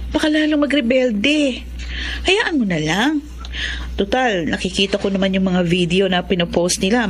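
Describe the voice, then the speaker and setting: A woman playing a protective young adult older sister in a radio drama, with a gentle, reasoning manner. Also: medium pitch, a little hiss or hum, lightly acted. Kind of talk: Tagalog radio drama acting.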